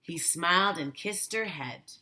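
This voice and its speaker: Slow, bright-voiced woman reading a storybook. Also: on the low side. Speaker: teacher. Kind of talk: children's storybook read-aloud.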